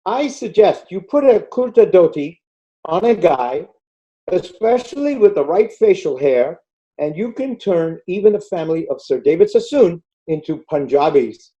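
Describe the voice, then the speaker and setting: An older man from India giving a measured, storytelling talk over a video call. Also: sits high, sing-song, mellow, dull audio. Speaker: guest speaker. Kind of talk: prepared talk with slides.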